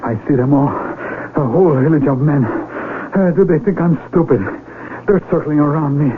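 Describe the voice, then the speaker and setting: An actor playing a desperate, hot-tempered young mountaineer in an old-time radio drama, earnest and agitated. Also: male voice, fairly high pitch, normal speed, natural voice. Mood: cornered, desperate. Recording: tinny band-limited radio recording with hiss.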